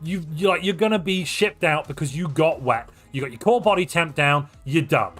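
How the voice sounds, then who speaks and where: A man's voice: fairly high pitch, normal speed, soft background music. A man from North Wales, chatting casually and animatedly on a live stream, rambling and joking at his own expense. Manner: animated, rambling, jokey and self-mocking. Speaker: streamer and tournament caster.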